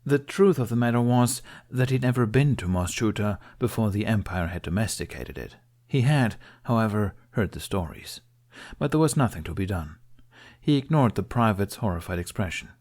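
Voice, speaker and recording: A man's voice: fairly low pitch, lively intonation, normal speed, darkish tone. A man reading a scripted story aloud as an audiobook narrator. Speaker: audiobook reader. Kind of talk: scripted narration of fan fiction.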